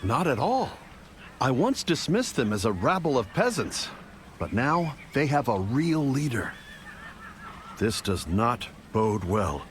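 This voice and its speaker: An actor playing an anxious, earnest military commander in a video game, speaking formally and urgently. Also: male voice, mid-range voice, very animated, takes it slowly, a little hiss or hum. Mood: grim. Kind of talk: video game cutscene acting.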